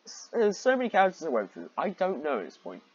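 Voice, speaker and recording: Exasperated husky man with a lively pitch. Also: fast, no echo, muffled recording. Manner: exasperated, easily sidetracked, breaking off to comment. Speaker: reader and commentator of fan fiction. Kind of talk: mocking read-aloud with rambling asides.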